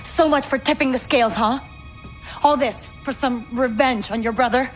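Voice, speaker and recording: A woman's voice: high voice, moderate pace, close to an everyday voice. Woman as a wry outlaw over music. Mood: wry defiance. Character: wry, defiant young female outlaw. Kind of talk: cinematic game acting. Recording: music under the voice.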